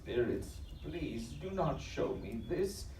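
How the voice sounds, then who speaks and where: A man playing a guilt-ridden, defensive doctor in a live audio drama, with formal, halting, stammered speech. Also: mid-range voice, expressive, a little mellow. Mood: anguished. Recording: echoey live stage, distant, faint noise.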